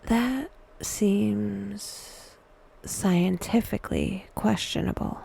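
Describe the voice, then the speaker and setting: A woman narrating a scripted guided meditation in a hushed, breathy, often near-whispered voice, with a wry, dry delivery. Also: mid-range voice, very slow.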